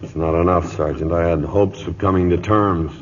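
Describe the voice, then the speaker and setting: A dull, faintly noisy vintage radio drama recording carries an aging cavalry captain. His voice sits low. He is gruff and proud, handing out clipped orders with dry understatement. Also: fairly even intonation, normal speed. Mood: wry, low-key. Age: older. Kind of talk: old radio drama acting.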